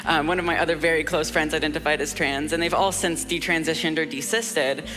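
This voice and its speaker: A woman's voice: deep voice, fairly even intonation, fairly quick, big-room sound, over music. A woman giving matter-of-fact personal testimony in an interview.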